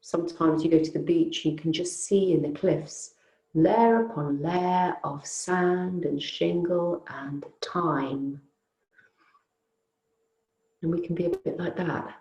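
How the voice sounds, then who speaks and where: A woman teaching yoga nidra, guiding a relaxation in a soothing, unhurried, gently inviting way with long pauses. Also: on the low side, echoey room, rough recording. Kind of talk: guided relaxation meditation.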